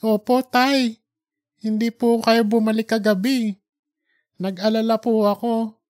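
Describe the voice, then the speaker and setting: Performer playing man in his sixties, devoted son, gentle, wistful, earnest, audiobook drama. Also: medium pitch, little pitch movement, a little slow, no room sound, studio quality, pitched above the performer's own voice, close to an everyday voice. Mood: tearful, reassuring. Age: 60s.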